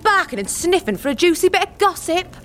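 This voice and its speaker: Gruff old folksy wise-woman, animated. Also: very high-pitched, a little fast, soft background music, exaggerated voice. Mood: wary, scolding. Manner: rustic dialect, blunt, scolding. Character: gruff old village witch woman, wary, folksy, teasing. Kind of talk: audio drama acting.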